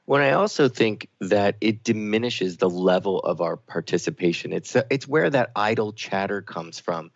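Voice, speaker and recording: Low-pitched man on phone-like radio. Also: expressive, moderate pace, vocal fry, few pauses, no room sound. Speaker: radio co-host. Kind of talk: reflective talk radio conversation. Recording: thin phone-like sound, very dry.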